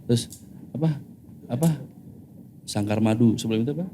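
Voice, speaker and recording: A man's voice: mid-range voice, brisk, dark tone, slight background noise. A man from Jakarta, Indonesia, talking in a rambling, slangy way and laughing often as a band member guest on a casual podcast.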